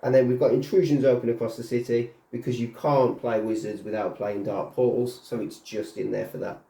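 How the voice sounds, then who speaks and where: A relaxed man with a mellow, warm voice, talking through his tabletop game choices. He hedges a lot with "kind of". He is recorded in an echoey room. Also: mid-range voice, a little fast, creaky. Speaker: guest player. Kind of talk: casual explanatory chat. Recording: roomy.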